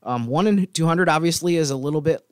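A man co-hosting a casual sports podcast, talking in a measured, explanatory way. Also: on the high side, clean tone, no room sound, studio quality.

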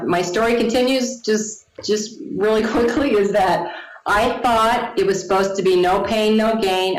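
A woman in her forties telling her story to an interviewer, animated, laughing often and acting out the people she quotes. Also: mid-range voice. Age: 40s. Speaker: guest. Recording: echoey room.

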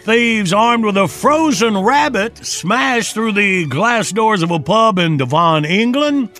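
A man's voice: sits high, lively intonation, sharp tone, faint music behind. A man hosting a morning radio show, joking through the segments and laughing often as he runs a phone-in game. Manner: laughs often, quick teasing delivery. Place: radio studio.

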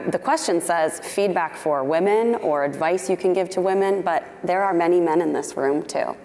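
An upbeat, outgoing woman moderates a panel, her voice sitting very low for a woman. A long hall reverb trails her words, with faint background noise. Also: darkish tone.

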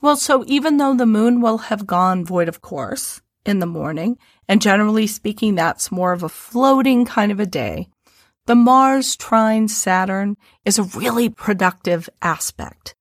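A woman with a slightly twangy Midwestern American accent, an astrologer co-hosting a podcast and explaining things in a relaxed chatty way. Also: medium pitch, a little slow, dry recording, studio quality. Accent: Midwestern American, a little twangy. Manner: explanatory, relaxed, uses homely images. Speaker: astrologer co-host.

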